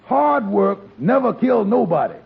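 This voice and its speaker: A blunt, scolding pal, high and rough-voiced, 1940s radio comedy, tinny broadcast sound. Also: male voice, very animated, measured pace, heavily acted. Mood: lecturing. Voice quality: gravelly, husky, ungrammatical dialect speech. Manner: lecturing, frank. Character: blunt, scolding male friend giving honest advice.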